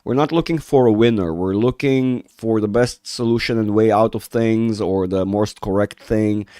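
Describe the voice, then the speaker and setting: A man hosting a live market chat with viewers, rambling and restarting sentences, his voice rough from a cold. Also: fairly low pitch, normal speed, warm tone, no room sound, studio quality. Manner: rambling, self-interrupting, reads chat aloud. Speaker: market analysis livestream host. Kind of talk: casual livestream chat with viewers.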